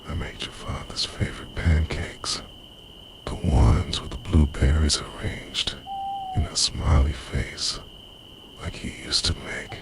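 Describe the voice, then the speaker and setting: A man playing an obsessive, devoted boyfriend in an ASMR roleplay, speaking in a hushed, intimate, breathy way that often slips into whispering. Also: extremely low pitch, noisy background, heavily acted. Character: obsessive, devoted boyfriend who secretly time-travels, confessing to lover.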